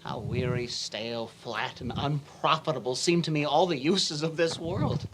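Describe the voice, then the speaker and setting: A Canadian reader in a casual table read, playing a brooding, bitter young prince and reading the verse haltingly. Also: mid-range voice, background noise, natural voice. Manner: reads verse haltingly, breaking into laughs. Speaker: amateur reader.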